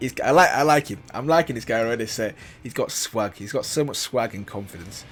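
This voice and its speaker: A man's voice: mid-range voice, lively intonation, brisk, slight background noise. Enthusiastic man reacting to a game. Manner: enthusiastic, keeps saying "obviously". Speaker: video reactor. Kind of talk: casual reaction commentary.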